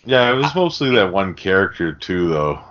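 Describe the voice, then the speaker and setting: A man with a very low voice talks casually about films as a podcast co-host. He explains details with sweary enthusiasm, and the recording is slightly dull and lo-fi. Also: sing-song, normal speed. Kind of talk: casual film discussion.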